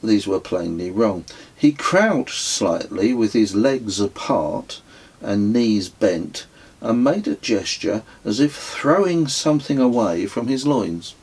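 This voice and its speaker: A man reading his own memoir as an audiobook, telling it in a measured, wry storytelling way. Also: fairly low pitch, animated, darkish tone, slightly rough, rough recording. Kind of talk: scripted audiobook narration.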